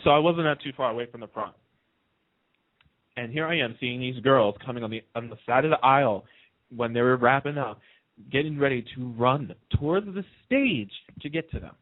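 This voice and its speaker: A man who works as a radio host, chatting casually and telling fan anecdotes in an excited, dramatic way. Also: mid-range voice, moderate pace, no echo, phone-quality sound.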